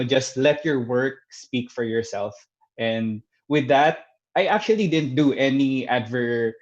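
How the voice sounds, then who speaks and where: A man's voice: mid-range voice, highs cut off. A man working as a videographer, chatting casually on a panel, hesitant with many fillers and restarts. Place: Dubai.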